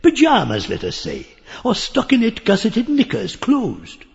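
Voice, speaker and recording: A man playing a grotesque, parrot-like old pedant, leering and excitable, with a nasal croak and a pompous lecturing manner in a theatrical audiobook play. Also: high-pitched, unhurried, long reverb tail, lo-fi sound, exaggerated voice. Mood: derisive. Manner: pompous learned lecturing, full of crowing outbursts. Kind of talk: theatrical audiobook acting of a surreal stage play.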